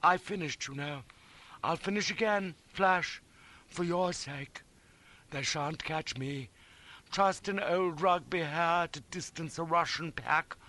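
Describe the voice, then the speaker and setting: A man's voice: fairly high pitch, slow pace, bright, forceful tone, pitched above the performer's own voice. A man voicing a noble, sentimental officer, breathless and fervent, in an audiobook adventure story. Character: earnest, noble young British officer, dutiful and sentimental.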